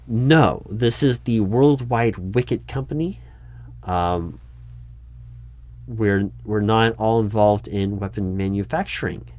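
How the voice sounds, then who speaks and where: A man playing a patient, flustered recruiting manager in an improvised roleplay, speaking in a halting, repetitive, placating way and over-explaining. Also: lowish voice, darkish tone, no room sound. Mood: patient, puzzled. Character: flustered, patient male recruiting manager humoring confused old applicant. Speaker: roleplay performer. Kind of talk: improvised one-sided ASMR roleplay, normal speaking voice.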